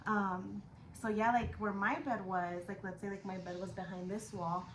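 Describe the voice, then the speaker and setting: A woman's voice: mid-range voice, little pitch movement, normal speed. Slangy young woman, echoey room. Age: 20s. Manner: slangy, switches between English and Spanish, swears casually. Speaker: vlogger telling a personal story. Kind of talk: casual chat storytelling. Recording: echoey room with background noise.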